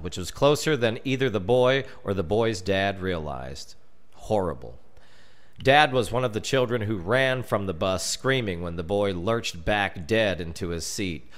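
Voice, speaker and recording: An American man reading a scripted story as narrator, theatrically, with dramatic pauses. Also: lowish voice, fairly bright tone. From United States. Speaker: storyteller reading his comic family memoir.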